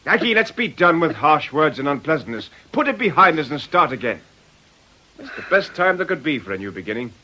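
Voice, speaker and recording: A man's voice: a little high, sing-song, a little fast, bright, forceful tone, muffled recording, full character voice. An actor playing a sly, blustering man from a shady club, cajoling and mocking in colloquial radio drama speech. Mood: wheedling.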